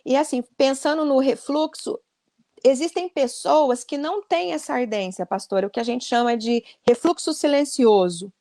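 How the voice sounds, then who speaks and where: A woman's voice: sits high, normal speed, mellow, no echo, clean studio sound. A woman speech therapist giving an earnest explanatory talk on a video call, often adding "né?". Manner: earnest and explanatory, many "né?" tags.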